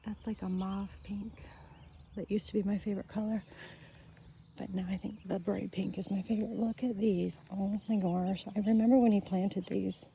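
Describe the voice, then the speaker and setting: An older woman chatting casually on a morning walk through her neighborhood, gushing and often soft and whispery. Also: mid-range voice, little pitch movement, moderate pace, highs cut off, slight background noise. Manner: chatty, gushing, softly spoken with breathy whispery stretches. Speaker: vlogger. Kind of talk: casual walking vlog. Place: outdoors on a neighborhood street.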